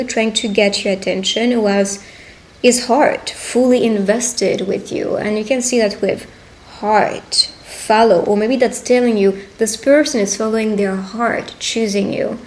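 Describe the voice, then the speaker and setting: Female tarot reader, medium pitch, addressing the listener intimately in short, affirming, unhurried phrases. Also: darkish tone. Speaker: tarot reader hosting a roleplay.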